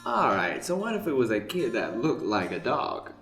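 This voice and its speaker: A man playing a blunt, folksy customer and pitchman in an improvised comedy radio ad. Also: wildly swooping pitch, normal speed, fairly bright tone, soft background music. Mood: curious. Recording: roomy echo.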